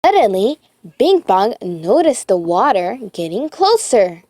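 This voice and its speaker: A woman reading a children's story aloud in an animated, deliberate storytelling manner. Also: mid-range voice, no echo, clean studio sound. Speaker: children's book reader. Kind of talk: expressive read-aloud storytelling for young children.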